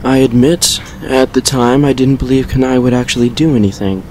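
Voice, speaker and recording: A man acts out a composed male vampire lord in a fan audio drama. He speaks at a middle pitch in measured, formal phrases, courteous and secretive, as if confiding an old tale. A faint noise sits under the recording. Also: a little mellow, natural voice. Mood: reflective, grave. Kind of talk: dramatic character dialogue and storytelling.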